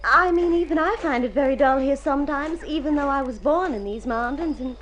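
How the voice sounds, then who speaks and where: A woman's voice: high-pitched, fairly quick, natural voice. An actress playing a sweet, modest young farm girl from the mountains, artless and wistful, in vintage radio drama. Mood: wistful, shy. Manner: artless, wistful, self-deprecating. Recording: old radio recording with some noise.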